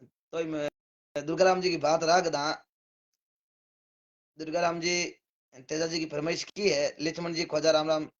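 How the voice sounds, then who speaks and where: A man with a Rajasthani accent and a hoarse, somewhat high voice greets his live-stream viewers one after another, thanking each by name in a relaxed way. The sound is dull and lo-fi, as if streamed from a phone. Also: a little slow, pauses often. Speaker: devotional singer hosting a live stream.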